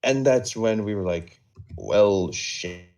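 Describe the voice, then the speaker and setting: A man with a very deep voice tells a story off the cuff for a live stream. He goes slowly and haltingly, measuring out phrases and digressing as he goes. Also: a little mellow. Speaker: storyteller recapping a game server roleplay.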